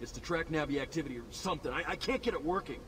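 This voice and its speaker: An actor playing a young, spooked soldier in a video game, anxious, pleading and stammering. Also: male voice, a little high, very fast, fairly bright tone, long reverb tail, a little hiss or hum, lightly acted. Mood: panicked. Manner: nervous, stammering.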